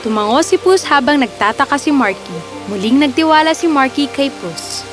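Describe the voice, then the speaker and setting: High-voiced woman narrating a children's fairy tale. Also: animated, moderate pace. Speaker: storyteller, narrator. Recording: music under the voice. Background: music.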